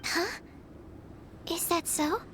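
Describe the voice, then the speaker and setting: A woman's voice: extremely high pitch, drawn-out words, very noisy, full character voice. A woman voicing an earnest, polite young girl scholar in a video game, formal and easily embarrassed. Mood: flustered, shy. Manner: polite, formal, hesitant when shy. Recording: loud music behind the voice.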